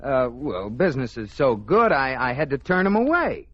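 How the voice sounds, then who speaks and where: An actor playing a jealous, scheming American husband in a radio comedy, stammering and excitable. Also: male voice, mid-range voice, moderate pace, slightly rough, no echo. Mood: scheming, eager. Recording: muffled old radio recording.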